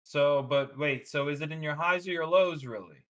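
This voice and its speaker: A man hosting a live coaching call, talking casually and acting out thoughts with sudden loud bursts. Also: a little high, moderate pace. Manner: energetic, acts out his own thoughts in a loud excited voice.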